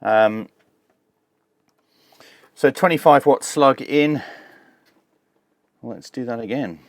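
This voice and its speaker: A man with a mid-pitched voice talks through a hands-on technical demonstration. His delivery is measured and informal, explaining as he works, like the host of a review channel. The sound is dry, with very little room in it. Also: no echo.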